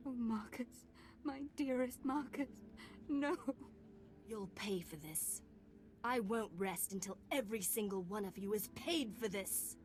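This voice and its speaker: An actress playing an anguished, vengeful noblewoman in a video game, melodramatic and drawn-out. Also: mid-range voice, lively intonation, lightly acted. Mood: pleading, grieving.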